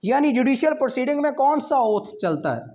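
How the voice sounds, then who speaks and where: A Pakistani-accented man teaching a law exam prep class, reading each question out slowly and then explaining it. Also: extremely high pitch, very animated, darkish tone. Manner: reads English questions slowly, explains in Urdu. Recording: slightly dull lo-fi audio.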